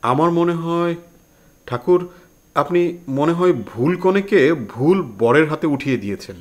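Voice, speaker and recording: Humble questioning disciple, higher voice. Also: male voice, animated, measured pace. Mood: timid, questioning. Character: humble disciple timidly questioning his master. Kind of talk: audio drama acting.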